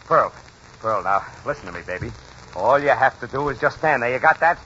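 A man playing a stubborn, bossy baseball manager with gruff slangy talk in a 1940s radio play. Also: medium pitch, expressive, brisk, pushed and bright, slight background noise. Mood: scheming, insistent. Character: stubborn, blustering male baseball team manager. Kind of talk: 1940s radio comedy-drama acting. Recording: muffled 1940s radio recording.

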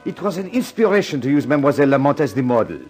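Actor as an excitable Frenchman, animated radio drama voice. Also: medium pitch, rapid-fire, faint music behind, lightly acted. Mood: eager, agitated. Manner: foreign phrasing, earnest, theatrical. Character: excitable, anxious Frenchman, a fashion designer. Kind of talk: 1950s radio drama acting.

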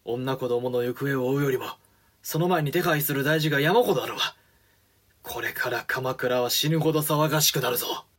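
An actor playing a shrewd, commanding samurai regent in a Japanese period audio drama, with authoritative, weighty archaic speech. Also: male voice, mid-range voice, moderate pace, a bit bright. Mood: stern, startled.

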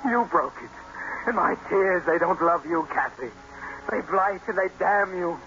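An actor playing an obsessive, tormented young lover in a 1950s radio drama, with intense, melodramatic delivery. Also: male voice, high voice, unhurried, sharp tone, a little hiss or hum. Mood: bitter, reproachful. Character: anguished, vengeful, obsessive young male lover, Gothic hero. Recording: muffled vintage radio broadcast, music behind.

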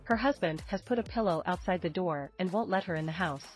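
A woman's voice: fairly low pitch, brisk. A woman narrating scripted celebrity gossip news as the reader of the report. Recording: music bed under the voice. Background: background music.

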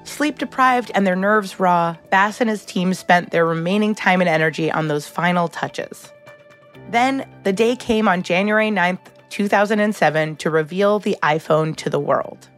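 A woman's voice: fairly low pitch, normal speed, fairly bright tone, soft background music. A woman hosting a documentary podcast, reading scripted narration in a polished storytelling format.

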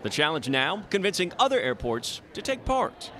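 Male reporter for scripted news voice-over, animated intonation, somewhat high register. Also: moderate pace, slight background noise. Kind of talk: scripted news package narration.

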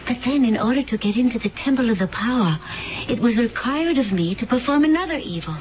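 A woman's voice: fairly high pitch, expressive, brisk, lightly acted. A woman acting in a 1970s radio drama as a tense, earnest young woman who claims to come from another planet, intense, solemn and literal. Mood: haunted confession. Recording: muffled, noisy 1970s radio broadcast recording.

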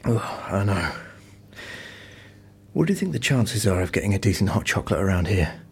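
Low, husky, understated office boss. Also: male voice, big pitch swings, moderate pace, heavily acted. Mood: casual, bossy. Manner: understated, dry. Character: dry, casual office boss bantering with colleague. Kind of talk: naturalistic audio drama dialogue.

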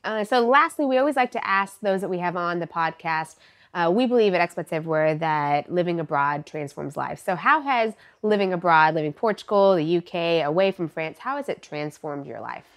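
A woman's voice: on the low side, animated, a little fast. A woman hosting a podcast interview, asking questions and offering brief encouraging responses. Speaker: podcast host. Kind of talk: conversational interview.

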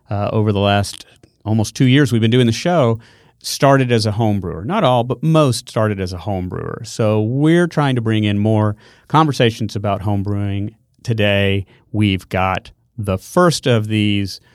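A man's voice: medium pitch, a little mellow, no echo, studio quality. A man hosting a podcast, giving a conversational introduction to an episode. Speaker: show host introducing an episode.

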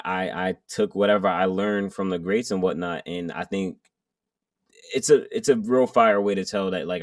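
Casting: male rapper guest, very low voice, rambling casual speech, dry sound a bit off-mic. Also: no room sound, far from the mic. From Jamaican heritage. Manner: loose, rambling, many fillers and restarts. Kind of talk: casual interview chat.

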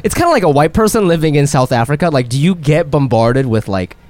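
A man hosting a casual interview chat, feeling his way haltingly through his questions. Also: a little high, lively intonation, darkish tone.

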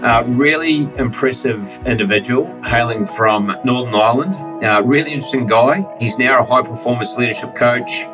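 A man's voice: mid-range voice, normal speed, hardly any pauses, far from the mic, over music, poor audio. A man hosting a podcast, introducing his guest with upbeat enthusiasm. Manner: upbeat, enthusiastic, calls listeners "guys". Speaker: podcast host. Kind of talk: interview introduction.

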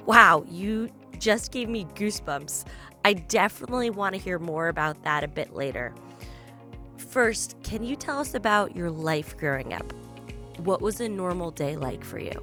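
Woman podcast host, lower voice, earnest, clear music bed underneath. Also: animated, normal speed, bright, forceful tone. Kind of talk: scripted podcast introduction and interview questions.

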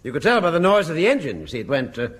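Heavy noise covers an old radio comedy recording of a genteel, vague middle-aged English clerk. He speaks diffidently and politely in a slightly high, lilting, upper-class voice. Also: big pitch swings, very fast. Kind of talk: radio sitcom acting.

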